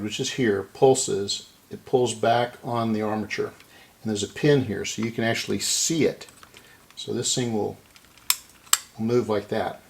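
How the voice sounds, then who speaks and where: A man's voice: lowish voice, gravelly, darkish tone. A man giving a casual hands-on repair narration, explaining and thinking aloud as he works. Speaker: repair video host.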